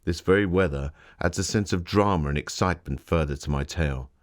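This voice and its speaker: Man acting a very deep-voiced gentleman author. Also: natural voice. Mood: impressed, genial. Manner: genteel, measured storyteller. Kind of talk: scripted museum exhibit narration, in character.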